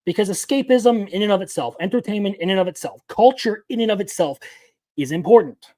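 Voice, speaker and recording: A man's voice: sits high, expressive, a little fast, dark tone. A man in his twenties giving casual live-stream commentary as an anime news host, opinionated and rambling, answering his chat as he goes. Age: 20s.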